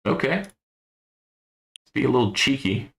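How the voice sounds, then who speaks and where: A man giving casual gameplay commentary on a stream, thinking aloud in a low-key, musing way with a gravelly voice. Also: lively intonation, moderate pace, a bit bright. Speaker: game streamer.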